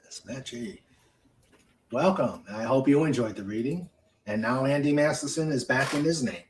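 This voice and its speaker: A man hosting a casual livestream chat, speaking haltingly with many fillers. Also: medium pitch, a little hiss or hum. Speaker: host.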